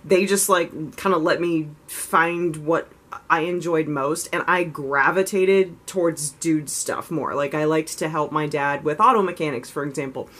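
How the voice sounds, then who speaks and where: Low-voiced woman rambling in a candid vlog. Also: a little slow, a bit bright. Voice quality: low voice she raises slightly higher than natural. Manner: rambling, full of "like" and "you know"; frank, self-reflective. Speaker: vlogger. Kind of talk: casual confessional vlog.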